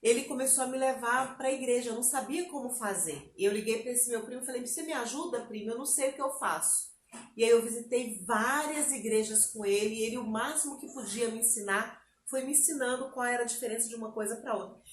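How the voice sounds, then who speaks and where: A lively Brazilian woman with a Bahian lilt tells her personal testimony, slipping into her own past voice as she recounts it. Her voice sits fairly high, and the room around her rings with a noticeable echo over a faint background hiss. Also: fast talker. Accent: Bahian Brazilian Portuguese. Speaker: interview guest. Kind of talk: personal testimony, casual chat.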